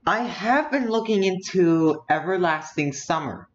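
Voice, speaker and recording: Slow-talking streamer thinking aloud. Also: medium pitch, animated, muffled recording, slight background noise. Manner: thinks aloud while browsing, muttering asides. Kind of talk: casual game stream chat.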